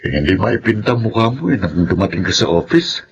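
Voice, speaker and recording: An actor playing a calm, reasonable, concerned elder cousin with a Filipino accent in a radio drama. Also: male voice, on the low side, fairly quick, muffled recording, slight background noise. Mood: concerned, advising.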